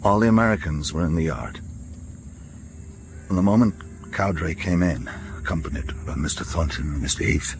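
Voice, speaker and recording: A man playing a weary American former prisoner in a radio drama, telling his memories in a measured, gentle way. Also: rumbling low. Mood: reflective. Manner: measured, reflective storytelling. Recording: clearly noisy background.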